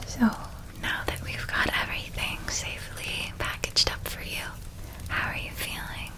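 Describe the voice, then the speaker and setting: A woman playing a caring, playful elder witch sister in an ASMR roleplay, speaking in a soft, breathy whisper with a gentle, reassuring manner. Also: moderate pace, lightly acted, poor audio. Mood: gentle, encouraging.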